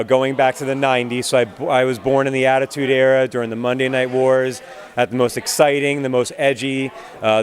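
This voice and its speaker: An American man in his twenties being interviewed as a pro wrestler, talking casually in a chatty, cheeky way. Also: mid-range voice. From United States. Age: 20s.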